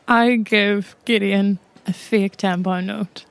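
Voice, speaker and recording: A woman's voice: medium pitch, fairly even intonation, slow pace, gravelly, clean tone, dry recording. A woman speaking casually as a player in an improvised tabletop roleplaying game.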